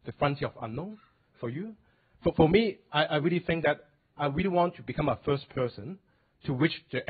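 A man's voice: on the high side, husky. Man, hong kong accent, hesitant filler-heavy talk, handheld mic in a wood-paneled hall, tinny phone-like sound. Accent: Hong Kong English. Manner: hesitant, many fillers, non-native phrasing. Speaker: polar expedition leader and glaciologist. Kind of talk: conference talk.

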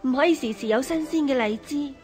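A spoiled young consort of a Chinese emperor played by a woman in a radio drama. She talks in a very high, coquettish, sweet voice over soft music. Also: quick pace, lightly acted. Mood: delighted. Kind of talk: Cantonese historical radio drama acting.

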